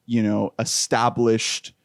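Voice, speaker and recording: A man co-hosting a movie review podcast, laying out his points in a thoughtful, measured way. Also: on the low side, completely flat delivery, no echo, studio quality. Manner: thoughtful, builds arguments slowly. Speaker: podcast co-host. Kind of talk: casual movie review chat.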